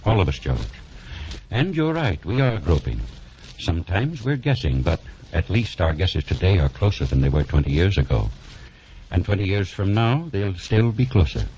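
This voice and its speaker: A man's voice: low-pitched, big pitch swings, normal speed, a little mellow. An actor playing an elderly senior doctor, a grave and fatherly mentor who speaks in solemn, oratorical 1940s radio drama style. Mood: solemn, stirring. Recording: muffled, noisy 1940s radio recording.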